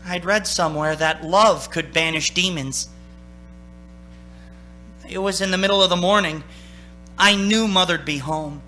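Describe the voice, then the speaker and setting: An actor in a radio play playing a frightened young man who narrates his ordeal as he unravels, intense and halting. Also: high-pitched, pushed and bright, slight background noise, low-quality recording. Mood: weary, desperate. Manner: intense, haunted, halting under strain. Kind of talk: dramatic radio play acting.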